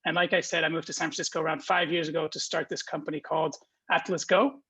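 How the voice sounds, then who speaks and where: A man's voice: on the high side, level tone, quick pace, pushed and bright, breathy edge. A man in his twenties from Belgium, giving a friendly, informal talk to students as a guest speaker, with many ums and restarts. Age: 20s.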